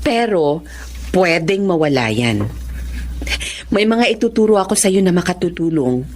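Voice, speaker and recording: Low-voiced, lively Filipina psychiatrist. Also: female voice, a little hiss or hum. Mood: consoling, firm. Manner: measured, reassuring counselling. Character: composed, caring woman psychiatrist telling her story. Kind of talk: Filipino radio drama acting and narration.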